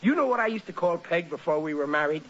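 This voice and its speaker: An actor playing a vain, blundering middle-aged working-class husband in an old-time radio sitcom, folksy and bumbling. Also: male voice, a little high, expressive, quick pace, fairly bright tone. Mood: proud. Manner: folksy, bumbling and hesitant, self-satisfied. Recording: muffled, very noisy old radio recording.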